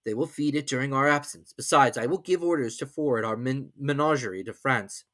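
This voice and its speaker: Male reader as impatient professor in his forties, brisk orders, eager, dry close sound, storybook adventure. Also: medium pitch, a bit bright, dry recording, voice pushed up, lightly acted. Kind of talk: storybook character reading.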